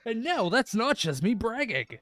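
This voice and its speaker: Animated joker reading over music. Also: medium pitch, normal speed, no room sound. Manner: crude joking, laughs at own ad-libs. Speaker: streamer reading a visual novel aloud. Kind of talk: live comedic read-along with ad-libs.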